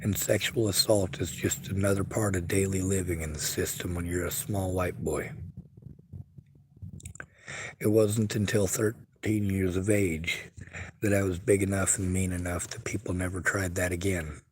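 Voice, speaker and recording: A man's voice: deep voice, steady tone, moderate pace, darkish tone, a little hiss or hum. A man livestreaming, reading viewer chat and speaking bluntly and earnestly in a casual monologue. He has a stutter and a speech impediment.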